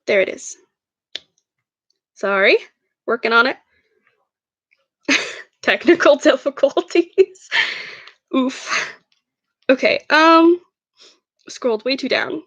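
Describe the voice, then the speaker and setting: A woman's voice: high voice, lively intonation, slow, pauses often, fairly bright tone, dry recording. A woman reading her own fan fiction aloud as first-person narration, an amateur who stumbles over words now and then.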